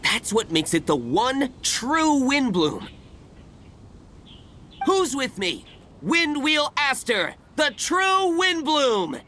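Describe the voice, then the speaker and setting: A pompous, wildly animated fruit vendor. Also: male voice, very high voice, slow, a little hiss or hum, full character voice. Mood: proud rallying. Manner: pompous, theatrical. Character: pompous, excitable fruit stall vendor named Quinn. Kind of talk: video game voice acting.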